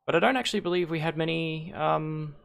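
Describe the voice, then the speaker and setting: A hoarse man hosting a league stream on a headset mic, rambling and correcting himself as he thinks aloud. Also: a little high, fairly quick, a bit bright. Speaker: league host and shoutcaster. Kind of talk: casual livestream commentary.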